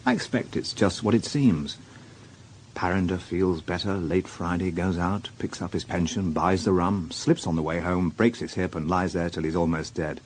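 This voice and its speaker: A man's voice: sits low, moderate pace, slight background noise, natural voice, rough recording. An actor playing a weary, dry-witted male police inspector in a radio drama, wry and understated. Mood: musing, tired. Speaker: narrator and lead actor. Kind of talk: radio drama acting and first-person narration.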